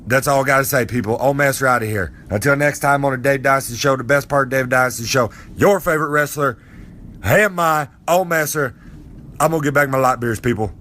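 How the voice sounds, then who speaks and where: A man's voice: mid-range voice, a little fast, pushed and bright, slight background noise, close to an everyday voice. A man voicing a boastful, folksy old male wrestler character with a drawl who rambles and preaches. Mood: overjoyed. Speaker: podcast character segment.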